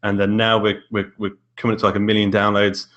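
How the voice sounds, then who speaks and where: A man with a British accent and a fairly low voice. He talks casually as a guest, stopping and repeating words as he explains things. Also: monotone, quick pace, fairly bright tone, lo-fi sound. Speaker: podcast guest, indie horror author. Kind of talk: casual chat.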